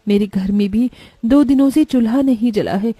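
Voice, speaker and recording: Female reader as struggling young mother, cartoon. Emotional, high voice, dry close sound. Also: moderate pace, mellow, slightly rough, no room sound. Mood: despairing. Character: poor, anxious young village mother, devout daughter-in-law.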